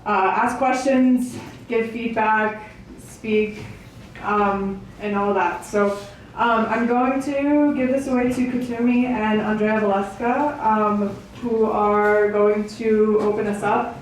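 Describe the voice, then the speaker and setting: A woman is welcoming people to a gathering as its host. Her delivery is halting and unscripted, with little rise and fall in pitch. The room echoes heavily, and she sounds some way from the mic with faint noise around her. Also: steady tone, distant mic. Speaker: event host, organisation director. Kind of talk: informal welcome speech to a gathering. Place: public meeting in an echoey indoor venue.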